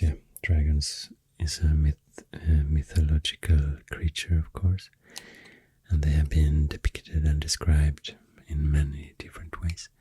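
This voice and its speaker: A woman with a Swedish accent doing soft-spoken ASMR, hushed and halting, with a deep, breathy, often whispery voice close to the mic. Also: dry recording. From Sweden. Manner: slow, hushed, halting with long pauses. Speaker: ASMR host. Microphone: close microphone. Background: soft pencil and clicking sounds.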